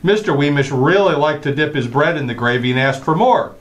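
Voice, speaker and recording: A man's voice: a little high, lively intonation, a little fast, lightly acted. A man playing an exasperated family father, eager to impress, in radio comedy style with a hesitant, stammering delivery. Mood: aggrieved. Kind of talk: old-time radio comedy acting.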